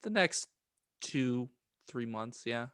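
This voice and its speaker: A man's voice: mid-range voice, animated, slow, fairly bright tone, clean tone, no echo, studio quality. A man co-hosting a podcast in casual chat. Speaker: podcast co-host.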